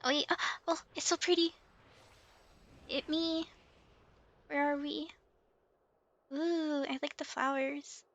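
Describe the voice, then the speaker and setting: High-pitched, giggly woman streamer. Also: steady tone, slow pace, pauses often, a bit bright, lo-fi sound. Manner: giggly, playful. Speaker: livestream host playing an online game. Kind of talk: casual chat with game commentary and dialogue read aloud.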